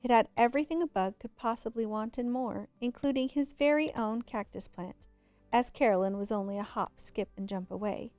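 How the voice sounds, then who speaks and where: Woman, children's read-aloud narration, higher pitch, emphatic drawn-out words, dry close sound. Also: darkish tone, no echo, soft background music. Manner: draws out and stresses words for emphasis. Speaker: storybook reader.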